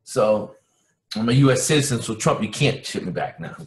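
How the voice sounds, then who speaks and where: A man's voice: medium pitch, normal speed, echoey room. A man born in Cuba, talking as an interview guest in a loose, rambling way, full of restarts and fillers. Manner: rambling, animated, many restarts. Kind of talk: casual interview chat.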